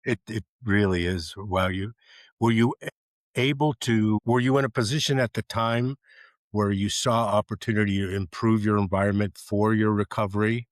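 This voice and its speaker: Male podcast host, casual and rambling, slightly low, dry close sound. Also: steady tone, moderate pace, no room sound. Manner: chatty, rambling, with false starts. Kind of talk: casual interview hosting.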